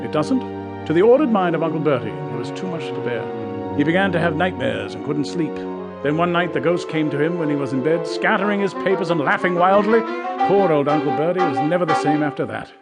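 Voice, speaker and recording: Stiff, high-pitched English lord, music behind. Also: male voice, animated, a little fast. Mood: dramatic, ominous. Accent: upper-class English. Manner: formal, grave, dramatic, fussy. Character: stiff, anxious English aristocrat, a lord guarding a haunted house. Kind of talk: audiobook radio-play acting. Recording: music under the voice.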